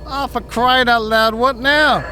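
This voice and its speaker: An extremely high-pitched mobster thug who draws his words out slowly. He is vengeful and easily exasperated, in a crime radio play. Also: steady tone, sharp tone, a little hiss or hum, full character voice. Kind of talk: 1940s detective radio drama acting.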